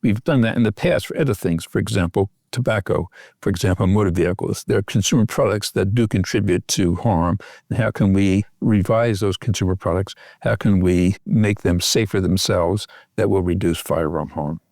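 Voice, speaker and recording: A man giving a measured, methodical explanation as an expert guest in an interview. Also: sits low, darkish tone, no room sound, clean studio sound. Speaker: expert guest, physician researcher.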